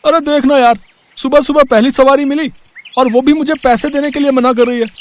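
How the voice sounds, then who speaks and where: A man's voice: very high voice, fairly even intonation, fairly quick. A man playing an aggrieved, argumentative auto driver in an acted storybook dialogue. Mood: indignant.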